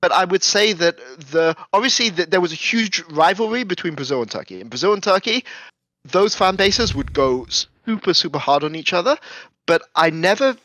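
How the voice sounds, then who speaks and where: Guy on the higher side telling old coaching stories in an interview, keeps restarting phrases, really dry close sound. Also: animated, moderate pace, fairly bright tone, dry recording, rough recording. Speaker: esports coach being interviewed. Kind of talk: conversational interview reminiscence.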